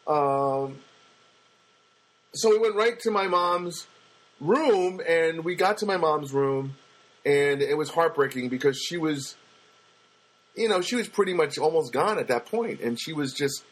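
A man with a booming voice telling a personal story in a casual monologue, halting often with fillers and restarts. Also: low-quality recording. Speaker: storyteller recounting a personal loss.

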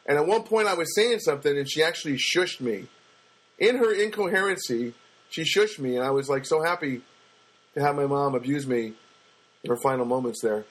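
A man with a booming voice telling a personal story in a casual monologue, halting often with fillers and restarts. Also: a bit bright, low-quality recording. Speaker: storyteller recounting a personal loss.

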